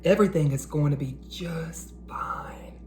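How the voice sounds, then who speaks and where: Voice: mid-range voice, unhurried, darkish tone, echoey room, whispered, natural voice. A reader voicing a supportive, matter-of-fact schoolboy friend in a storybook, encouraging and firm. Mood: reassuring.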